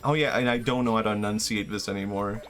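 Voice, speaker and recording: Swedish guy streaming games, kinda low voice, rambly and self-deprecating, laughs a lot, soft music behind. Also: steady tone, fast talker. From Sweden. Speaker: game streamer. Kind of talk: casual live-stream chat while gaming.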